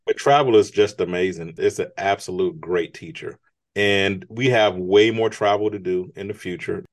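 Low-voiced man, earnest and motivational. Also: fairly even intonation, normal speed. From United States. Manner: earnest, motivational, emphatic rises. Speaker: guest, business strategist. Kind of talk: conversational interview.

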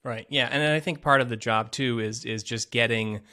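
A man's voice: mid-range voice, moderate pace, bright, forceful tone. A man hosting a tech podcast, enthusiastic and loose, with lots of ums and you-knows. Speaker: podcast host. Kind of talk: casual podcast interview.

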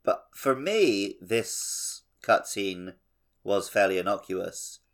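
Male podcast co-host with a fairly low voice, informal and explanatory game chat. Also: expressive, takes it slowly, a bit bright. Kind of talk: casual video game discussion.

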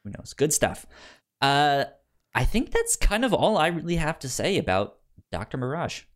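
A man co-hosting a casual review discussion, halting and repeating words as he thinks out loud. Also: on the high side, sing-song. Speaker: podcast co-host reviewing comics.

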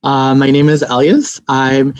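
A young man from Lebanon, a guest on a podcast, answering politely and in a measured way, with some "uh" and "um". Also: mid-range voice, level tone, dry recording. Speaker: podcast guest, research coordinator. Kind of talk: interview answers. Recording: dry, dull lo-fi remote mic.

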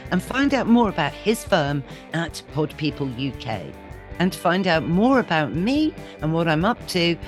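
A woman hosting a podcast in a chatty, casual way, often saying "you know" and laughing lightly. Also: sits low. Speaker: podcast host.

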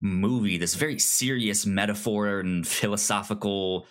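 A podcast co-host in a casual film discussion, talking informally and haltingly with repeated stammered starts. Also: sits low, a bit bright.

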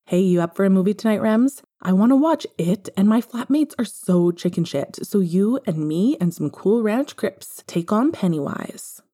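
A woman's voice: mid-range voice, lively intonation, moderate pace, few pauses, warm tone, lightly acted. Woman as a cheeky, breezy young female friend. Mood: upbeat. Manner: breezy, slangy.

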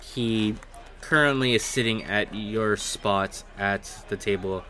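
A man narrating a tabletop game as game master, improvising descriptions in halting phrases. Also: on the low side, bright, forceful tone.

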